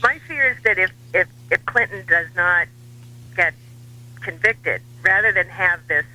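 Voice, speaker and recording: An American man giving political commentary as a radio guest, wry and dryly humorous, with measured hesitations. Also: high-pitched, bright, forceful tone, poor audio. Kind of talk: talk radio interview.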